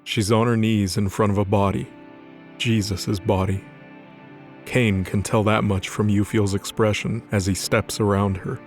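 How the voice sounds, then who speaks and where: A man's voice: lowish voice, flat delivery, a little slow, a little mellow, faint music behind. A man narrating a scripted fantasy audio drama.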